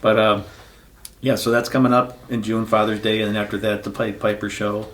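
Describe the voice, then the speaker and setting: A man's voice: on the low side, monotone, brisk, fairly bright tone. A man in his sixties hosting a casual car podcast, easygoing and rambling, often repeating "yeah". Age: 60s. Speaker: podcast host. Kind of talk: casual chat.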